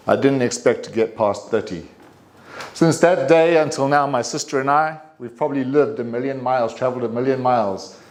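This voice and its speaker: Male, 50s, South African accent, mid-range pitch; personal storytelling speech, deliberate with dramatic pauses. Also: a little mellow. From Durban, South Africa. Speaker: club speaker giving a personal speech.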